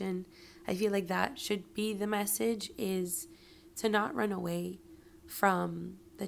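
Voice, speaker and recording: A woman hosting her own show, talking through grief and pain in a hoarse, raspy voice, earnest and encouraging, sometimes dropping to a whisper for emphasis. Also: medium pitch, a little hiss or hum. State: hoarse from yelling. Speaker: podcast host. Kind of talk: personal reflective monologue.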